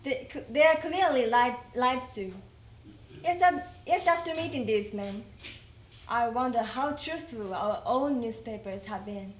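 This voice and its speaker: A woman performing in readers theater, reciting a letter earnestly and deliberately, with an occasional stumble. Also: a little high, lively intonation. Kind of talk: dramatic group recitation of a letter. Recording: roomy echo, faint background noise.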